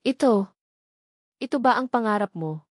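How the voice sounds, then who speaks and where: A woman's voice: a little high, expressive, normal speed, breathy edge, no echo, studio quality. A woman voicing a betrayed, furious young heiress in an audio drama, accusing and emphatic in manner. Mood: angry accusation.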